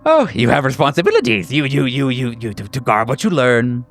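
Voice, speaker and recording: A man voicing a fawning, sinisterly earnest group therapy doctor in a tabletop game, halting and repeating himself emphatically with nervous chuckles. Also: medium pitch, sing-song, faint music behind, voice pushed up. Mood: fervent, insistent. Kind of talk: theatrical group-session address.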